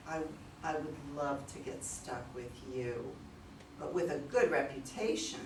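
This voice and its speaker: A woman's voice: very deep voice, unhurried. A woman playing a worried, apologetic client in an amateur play reading. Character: worried female client seeking help, apologetic. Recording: echoey room, distant mic, faint noise.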